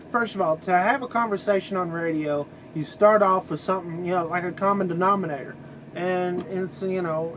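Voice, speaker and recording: High-pitched man, casual vlogging. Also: a bit bright, a little hiss or hum, low-quality recording. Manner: folksy, opinionated, informal. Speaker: trucker video blogger. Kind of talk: casual rambling vlog monologue.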